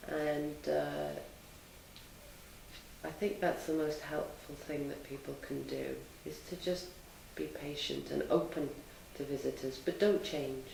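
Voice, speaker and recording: A woman in her thirties, a teacher, giving calm, measured answers in an interview. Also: deep voice, gravelly, slight background noise. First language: English. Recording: echoey room, mic at a distance.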